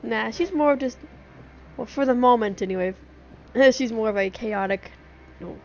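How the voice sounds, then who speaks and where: A woman's voice: fairly high pitch, normal speed, a little mellow. A woman live streamer chatting casually over a game, giggly and rambling. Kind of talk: casual livestream chat while gaming. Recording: dull lo-fi stream microphone.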